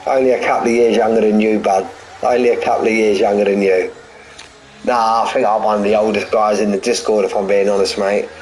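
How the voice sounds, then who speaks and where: A man's voice: lowish voice, flat delivery, brisk, darkish tone, slight background noise, low-quality recording. A man in his forties calling a sim race live, chatty and jokey, repeating phrases and bantering with his viewers between the action. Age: 40s. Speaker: sim racing commentator and streamer. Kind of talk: live race commentary mixed with banter with chat.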